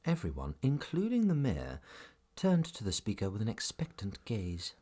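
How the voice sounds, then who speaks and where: A man's voice: fairly low pitch, huge pitch swings, normal speed, warm tone. A man narrating a scripted fairy tale for a family audio drama as its storyteller. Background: music.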